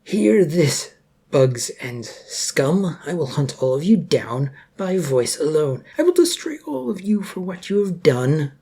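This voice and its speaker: A man's voice: fairly high pitch, sing-song, measured pace, dark tone, breathy edge, full character voice. A man voicing a menacing, vengeful villain in an audio story, speaking in threatening declarations. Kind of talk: audio story character dialogue.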